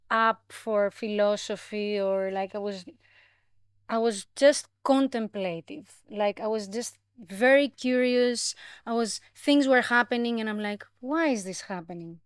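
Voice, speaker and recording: Smooth, higher-voiced Greek woman, closely recorded. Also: slow, no echo, clean studio sound. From Greece, grew up in Athens. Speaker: podcast guest. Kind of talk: reflective interview conversation.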